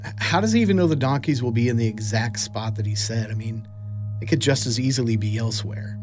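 An actor playing a mild, wondering disciple in a conversational audio drama. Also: male voice, mid-range voice, quick pace, music in the background, close to an everyday voice. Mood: bemused.

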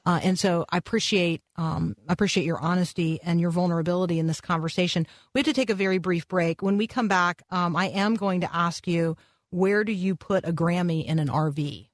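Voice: medium pitch, steady tone, a little fast, no echo. Show host, dry close sound, easygoing, lots of ums. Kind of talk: interview hosting.

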